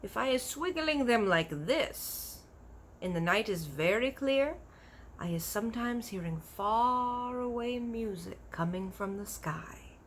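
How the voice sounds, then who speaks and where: A woman's voice: a little high, lively intonation, takes it slowly, slight background noise, voice pushed up. A woman voicing a kindly, big-eared storybook giant who is earnest, proud and easily offended, talking in folksy broken grammar with invented words. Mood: wonder, earnest. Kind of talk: animated children's storybook character voice.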